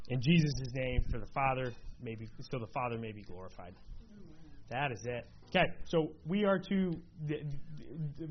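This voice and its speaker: A man leading a Bible study in a conversational way, often checking in with his listeners. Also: medium pitch. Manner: conversational, many fillers and "right?" checks. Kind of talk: casual teaching to a small group. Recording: dull lo-fi room recording, faint noise.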